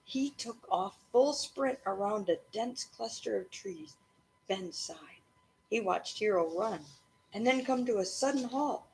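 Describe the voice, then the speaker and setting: A woman reading a children's story aloud expressively, in an animated way meant to engage a young listener. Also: on the high side, measured pace, creaky, slight background noise, rough recording.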